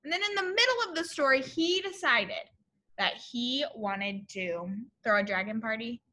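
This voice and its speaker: A young woman reading a picture book to children as a teacher, in an expressive, exaggerated way, often addressing her young listeners with questions. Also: fairly high pitch, moderate pace. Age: young adult. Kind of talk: animated children's story read-aloud. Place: classroom, indoors.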